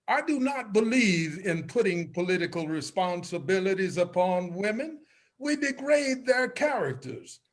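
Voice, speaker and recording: A man's voice: sits high, heavily acted. A man playing a pompous old-fashioned politician, declaiming in reader's theater style.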